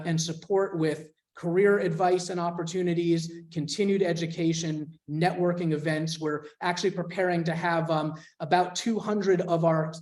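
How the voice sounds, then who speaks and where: Higher-voiced man presenting on a call. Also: darkish tone. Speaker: scholarship foundation representative. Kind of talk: presentation at an info session.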